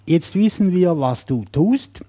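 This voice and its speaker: A man hosting an interview podcast, asking gentle questions in a friendly, joking way. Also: a little high, expressive, slow pace, husky. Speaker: podcast host.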